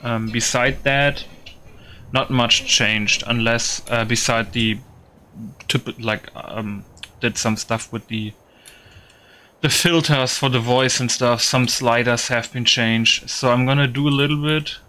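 A man with a bright, clear-cut tone commentates casually over a video game. His delivery is halting and repetitive, as if he is working out his thoughts while he speaks. His pitch sits in an ordinary male range. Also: steady tone, a little hiss or hum. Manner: halting, repetitive, thinking aloud. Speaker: gaming video host.

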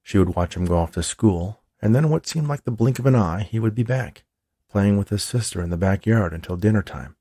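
Gravelly, low-voiced male storyteller. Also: warm tone, dry recording, studio quality. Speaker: author reading own book. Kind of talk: scripted story narration.

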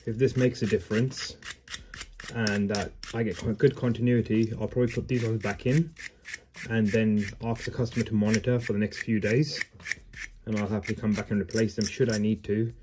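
A man's voice: fairly low pitch, little pitch movement, fairly quick, noisy background. A man, a heating engineer, casually explaining a repair on the job in a customer's home, matter-of-fact while working with his hands. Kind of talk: casual how-to demo on the job. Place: indoors in a customer's home, wooden floor.

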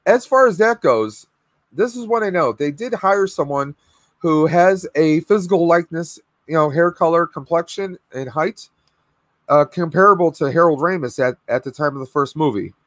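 Hoarse stream host, thirties, talks in a dry, close, higher voice with lots of fillers. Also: male voice, moderate pace, fairly bright tone, no echo. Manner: chatty, rambling with fillers. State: losing his voice, clearing throat. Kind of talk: casual live stream chat. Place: home room with collectibles, indoors. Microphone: webcam video call.

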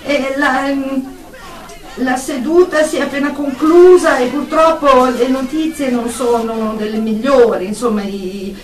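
An actress playing an anxious, deferential psychotherapist in a radio drama, speaking haltingly and apologetically on a phone call to a superior. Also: female voice, sits high, fairly even intonation. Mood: anxious, apologetic. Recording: echoey room, distant from the mic.

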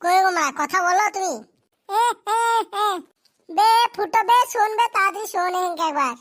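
A performer voicing a hapless, foul-mouthed young cartoon groom in coarse slang, squeaky and childlike. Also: normal speed, fairly bright tone. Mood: panicky, exasperated. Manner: coarse, grumbling, rural slang. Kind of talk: amateur comedy cartoon voice acting.

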